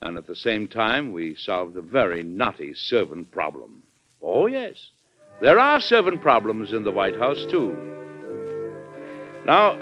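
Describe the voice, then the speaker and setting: An actor playing a genial, statesmanlike middle-aged American president in 1940s radio drama style, oratorical and folksy, with an American accent. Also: male voice, mid-range voice, natural voice. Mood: avuncular, narrating. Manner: oratorical in speeches, folksy in private. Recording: dull vintage radio recording with music.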